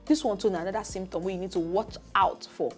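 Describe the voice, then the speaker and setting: A woman's voice: medium pitch, fairly even intonation, quick pace, soft background music. Teasing Nigerian woman TV host. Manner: playful, teasing, laughs often. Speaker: talk show host. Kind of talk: casual health talk show. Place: TV studio.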